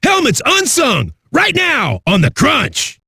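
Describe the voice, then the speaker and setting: A foul-mouthed, hyped-up rock radio DJ for a video game. His voice is raspy and very high for a man, with a forceful edge. He swaggers through every line like an aggressive party host, in a close, dry sound. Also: huge pitch swings, slow pace, no echo, exaggerated voice. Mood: rowdy excitement. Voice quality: raspy, gravelly. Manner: aggressive, rowdy, swaggering delivery.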